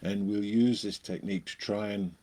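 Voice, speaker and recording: A man leading a guided meditation, speaking in short phrases with long pauses between them. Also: on the low side, fairly even intonation, warm tone.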